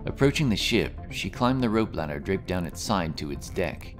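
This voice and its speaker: A man narrates a fantasy adventure from a script. His voice is deep and moves expressively up and down, over clearly audible music. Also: big pitch swings, normal speed.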